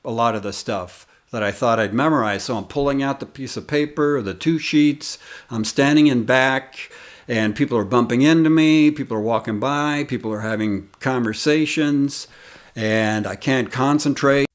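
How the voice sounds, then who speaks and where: Older man telling stories. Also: mid-range voice. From United States. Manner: rambling, reminiscing, unhurried anecdotes. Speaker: comedian and channel host. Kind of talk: casual storytelling to camera.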